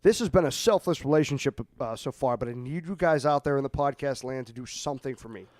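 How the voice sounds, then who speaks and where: A man's voice: mid-range voice, quick pace, a little mellow, no room sound. A man hosting a casual podcast, reading quiz facts with mock drama and joking with his co-hosts. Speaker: podcast host.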